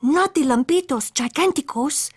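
A woman's voice: sits high, moderate pace, pitched above the performer's own voice, lightly acted. A woman voicing a boastful young kitten who plays at being a daredevil chihuahua, sprinkling in mock Spanish words. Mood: cocky.

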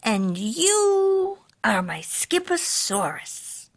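An imaginative little boy kitten from a storybook, played by a woman. The voice is high and swoops widely, full of boasts and dramatic, whispery exclamations. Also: big pitch swings, very slow, no echo, clean studio sound, full character voice. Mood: excited pride.

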